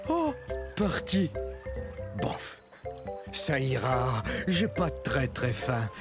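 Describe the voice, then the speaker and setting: Lively cocky cartoon hunter dinosaur. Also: male voice, medium pitch, very slow, music in the background, exaggerated voice. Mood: casual, instructive. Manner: cocky, chatty, casual. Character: boastful, laid-back African hunter dinosaur. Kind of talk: cartoon educational monologue to viewers.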